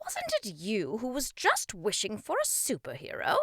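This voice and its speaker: Woman as encouraging magical king, high-pitched, swooping delivery, enthusiastic teacher, children's storybook. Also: wildly swooping pitch, sharp tone, dry recording, studio quality, heavily acted. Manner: enthusiastic, exclaiming, slow word-by-word coaching.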